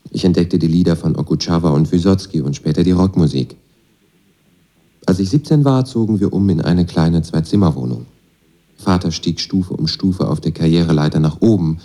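A man's voice: deep voice, mellow. An actor playing a disillusioned young pacifist student, telling his story in a laconic, matter-of-fact radio drama monologue. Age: young adult. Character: disillusioned young Moscow student, pacifist son of army father. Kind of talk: radio drama monologue, first-person testimony.

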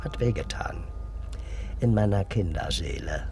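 An actor playing a wry, probing police detective in a naturalistic radio crime drama, with a dry, ironic way of questioning. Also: male voice, sits low, animated, a little slow, vocal fry, exaggerated voice. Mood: wry, self-mocking. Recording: music behind the voice.

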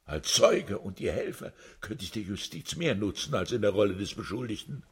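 An actor playing an accused prisoner in a radio drama, pleading his innocence emotionally with a husky voice. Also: sing-song, moderate pace, heavily acted. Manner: pleading, emotional, swinging pitch.

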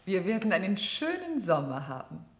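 An actress playing a dreamy, sentimental, timid lady in a radio play, with a husky, breathy and tender voice. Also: lowish voice, sing-song, normal speed, heavy background noise. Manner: tender, anxious. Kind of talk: German radio play acting.

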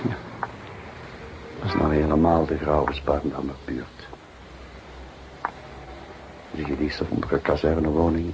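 A shrewd older police detective speaks deep and measured, politely probing as in a radio play. He stays calm and courteous. The recording carries clear background noise. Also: dull audio. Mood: musing. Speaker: actor playing police detective. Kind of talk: Dutch radio drama acting. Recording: noisy radio drama recording.

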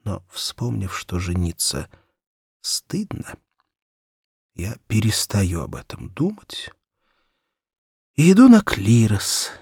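A man narrating a children's story in an expressive, theatrical first-person reading, moving between hushed and excited delivery. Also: fairly low pitch, slow, gravelly, pauses often, husky, no echo, clean studio sound. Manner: theatrical, animated, swinging from hushed whispers to excited exclamation. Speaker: audiobook narrator.

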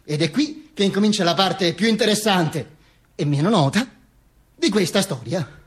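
An actor playing a vain dead dictator in a theatrical monologue, pompous and halting, with stammered repetitions. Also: male voice, sits high, animated, slightly rough. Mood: wry, reminiscing. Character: vain dead dictator narrating from beyond the grave. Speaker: stage actor performing a monologue.

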